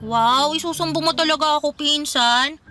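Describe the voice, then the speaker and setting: Radio drama: a pushy, teasing young woman, high and bright. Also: moderate pace, faint music behind. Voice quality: teasing, playful. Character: teasing, outgoing young female cousin. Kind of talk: classic radio drama acting.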